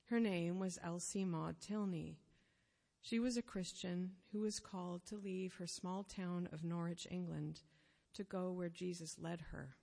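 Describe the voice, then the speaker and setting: Woman preacher, lowish gravelly voice, measured phrasing, reverberant hall. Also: fairly even intonation, dark tone. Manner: earnest, measured, pausing phrase by phrase. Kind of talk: sermon storytelling.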